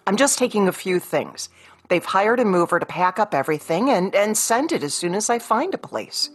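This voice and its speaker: An actress playing a patient, practical ex-wife in a radio play, with a natural, placating way of talking. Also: female voice, mid-range voice, sing-song, moderate pace, breathy edge. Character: patient, practical career woman, an exasperated ex-wife.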